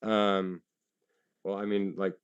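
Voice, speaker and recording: A man in a debate who answers haltingly, with many fillers and restarts and some casual swearing. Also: sits low, little pitch movement, smooth, dry recording, dull audio. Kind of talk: live debate.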